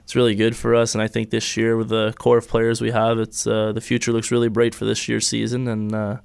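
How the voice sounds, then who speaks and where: A man's voice: on the low side, monotone, fairly quick, gravelly, a little mellow, smooth. A young man whose first language is French, talking easily as a guest in a radio studio, with lots of fillers and restarts. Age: young adult. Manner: easygoing, many fillers and restarts, laughs often. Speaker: hockey player guest. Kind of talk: casual radio interview. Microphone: studio mic on boom arm.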